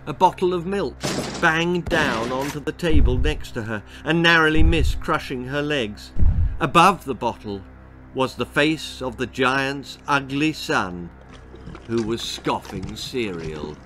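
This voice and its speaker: A man's voice: medium pitch, lively intonation, unhurried, music in the background. A man reading a children's horror-comedy story in a theatrical, precise storytelling style. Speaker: author reading his own story.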